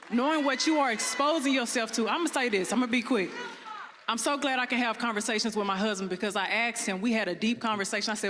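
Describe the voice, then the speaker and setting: A woman's voice: a little high, a bit bright. A woman giving her opinion on a panel in a firm, didactic way. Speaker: panelist in a discussion video. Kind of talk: opinionated discussion. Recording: echoey hall, long reverb.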